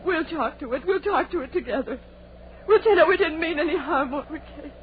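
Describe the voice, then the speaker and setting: A woman's voice: high voice, a little fast, lightly acted. An actress playing a grief-mad young woman lost in a storm, with theatrical, wailing, repetitive delivery in old-time radio horror style. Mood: frantic, tearful. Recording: tinny, noisy vintage radio recording.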